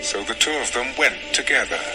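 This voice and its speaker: Casting: male narrator, mid-pitched, formal and measured scripture storytelling, clear music bed. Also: expressive, poor audio. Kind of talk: solemn dramatized scripture narration. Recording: music under the voice.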